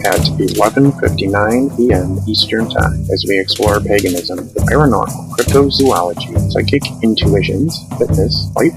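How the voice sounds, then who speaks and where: A man's voice: lowish voice, rough recording. A man reading scripted radio promos as a voice-over artist and host. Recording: loud music bed behind voice. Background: loud music.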